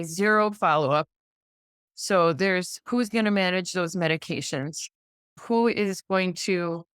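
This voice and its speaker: American woman, middle aged, lowish smooth voice, talking emphatically in an interview, really dry close sound. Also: dry recording. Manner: emphatic, rhetorical questions and repetition. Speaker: podcast guest, pediatrician. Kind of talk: conversational interview account.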